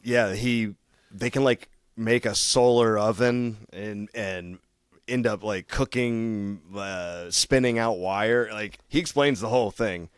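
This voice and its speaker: A man's voice: lowish voice, slow pace, smooth, dry recording, studio quality. A young man co-hosting a podcast, rambling in casual chat, laughing and swearing easily. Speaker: podcast co-host.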